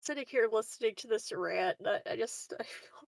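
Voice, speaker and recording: A woman's voice: a little high, normal speed, vocal fry, no room sound, studio quality. A woman chatting casually as a player in a tabletop game. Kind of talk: casual chat.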